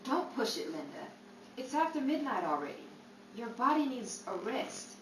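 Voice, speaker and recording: A noisy, echoey recording of an actress as a coaxing, protective talent manager in an old-time radio play. The woman's voice is highish and persuasive. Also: very animated, moderate pace, fairly bright tone. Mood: coaxing, cautioning. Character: coaxing, cautious female showgirl's manager, protective. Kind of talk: 1930s old-time radio drama acting. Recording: noisy, echoey, distant mic.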